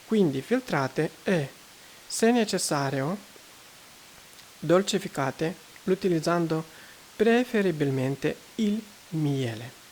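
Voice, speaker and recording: A woman testing microphones in a room, talking casually and hesitantly with many fillers, and reading haltingly from a book. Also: deep voice, lively intonation, darkish tone, a little hiss or hum, rough recording. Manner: reads aloud haltingly from a book. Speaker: gear reviewer testing microphones. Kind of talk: casual mic test vlog. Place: indoor room. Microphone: various test microphones, condenser among them.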